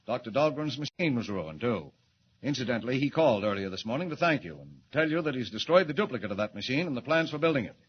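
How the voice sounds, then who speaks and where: An actor playing a brisk, bossy middle-aged newspaper editor in a 1940s radio drama. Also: male voice, mid-range voice, sing-song, highs cut off, slight background noise, lightly acted.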